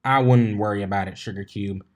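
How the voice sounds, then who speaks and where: A man's voice: on the low side, brisk, natural voice. A man voicing an easygoing, reassuring female farmer pony with folksy, plain-spoken country speech in a story reading.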